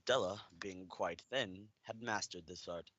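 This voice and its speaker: A man's voice: deep voice, measured pace, fairly bright tone, dull audio. A young man narrating a scripted radio play story.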